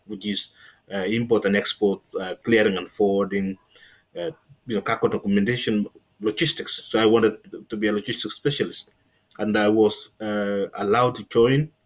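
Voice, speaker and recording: A man's voice: on the low side, husky. A middle-aged man with a Kenyan accent, giving a conversational account as an interview guest and often adding "you know" as he explains. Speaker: interview guest, former navy linguist.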